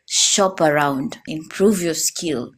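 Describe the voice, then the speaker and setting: Kenyan female guest, young, slightly low-pitched, earnest and repetitive. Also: slow. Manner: earnest advice-giving, repeats key points. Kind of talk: interview testimonial.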